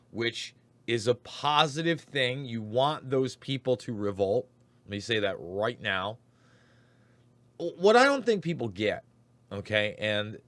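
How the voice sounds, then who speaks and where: Raspy-voiced ranting male host. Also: mid-range voice, a bit bright, no echo. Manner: emphatic, ranting delivery. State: hoarse. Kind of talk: political commentary.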